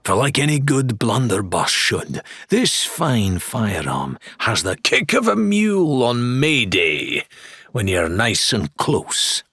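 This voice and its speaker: A man's voice: mid-range voice, very animated, slow, a bit bright, husky, dry recording, studio quality, full character voice. A man voicing a blustering, theatrical pirate weapons dealer in an audiobook, drawing out his salesman's patter with grand flourishes. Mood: dramatic, reverent. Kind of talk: dramatised audiobook character dialogue.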